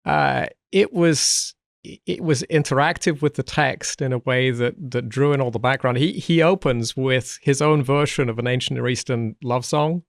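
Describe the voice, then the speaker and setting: Middle-aged man, blended London and American accent, gravelly tone, dry close mic; a thoughtful guest who restarts his sentences often. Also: medium pitch, dry recording. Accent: mixed South London and American. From England. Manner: thoughtful, halting, with many fillers and restarts. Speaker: guest, Old Testament scholar. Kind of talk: relaxed podcast conversation.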